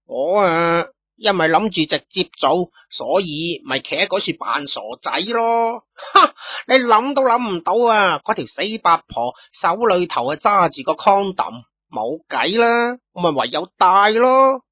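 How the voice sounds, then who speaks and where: A man's voice: sits high, expressive, measured pace, sharp tone, dry recording, heavily acted. A man voicing a crude, boastful young street punk who brags and cackles. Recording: dull lo-fi recording.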